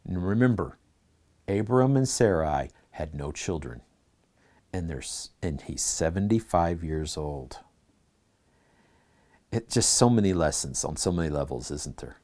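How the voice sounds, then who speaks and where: Low, mellow-voiced man teaching Bible class. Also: expressive, a little slow, long pauses. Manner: earnest, asks the class questions, reads scripture aloud. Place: church classroom.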